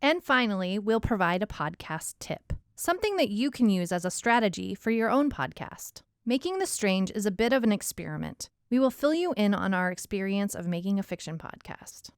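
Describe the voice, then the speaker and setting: A woman's voice: mid-range voice, expressive, normal speed, few pauses, smooth, no echo, clean studio sound. A woman co-hosting a podcast, moving between presenting and conversation. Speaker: podcast co-host.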